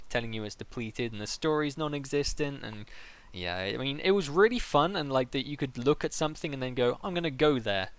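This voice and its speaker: A man's voice: mid-range voice, smooth. A man hosting an interview in a casual, conversational style. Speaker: interviewer, host.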